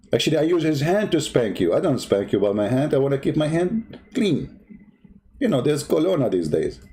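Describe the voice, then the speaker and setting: Mocking man with a mellow voice. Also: mid-range voice. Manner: mocking, insulting, emphatic, singing to himself. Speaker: debate host. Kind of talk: polemical commentary over a video.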